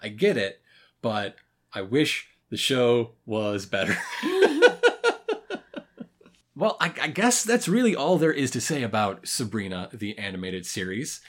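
Casual review podcaster, thirties, medium pitch with very lively swings, thinks aloud with pauses. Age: 30s. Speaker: podcast co-host. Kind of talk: casual review chat about a cartoon.

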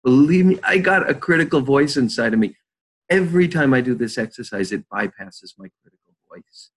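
An older man, a therapist, leading a slow guided visualization and then chatting about his practice. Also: mid-range voice, lively intonation, breathy edge, rough recording. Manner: slow soothing guided-meditation delivery.

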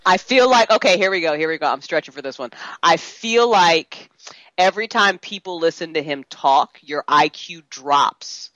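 A woman's voice: on the low side, expressive, normal speed, a bit bright, no room sound. A woman co-hosting a radio show in casual banter, outspoken and given to joking. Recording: dull lo-fi audio.

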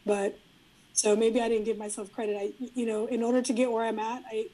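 Middle-aged woman, spanish first language, fairly high voice, chatty and thoughtful, lots of restarts. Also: level tone, a little fast, dark tone, rough recording. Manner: reflective, frequent restarts and fillers. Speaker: guest. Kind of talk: casual interview conversation.

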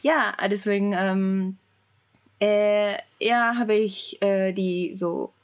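A woman's voice: mid-range voice, fairly even intonation, very slow, smooth. A young woman whose first language is Japanese speaks German with a Japanese accent in a casual chat, searching for words with many fillers and laughing often. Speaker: guest.

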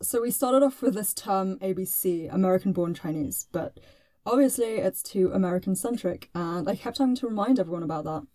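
A woman producer who talks in a relaxed, matter-of-fact way. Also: mid-range voice, brisk, no echo. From London.

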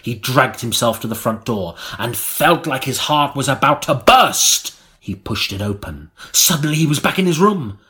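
A man narrating an audiobook in a theatrical, melodramatic style, with dramatic pauses. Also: medium pitch, lively intonation, pushed and bright.

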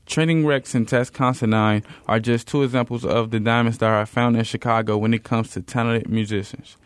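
A man co-hosting a radio show, chatting in a relaxed, plain way from a studio. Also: mid-range voice, a little fast, clean tone, no echo. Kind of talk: casual studio interview chat.

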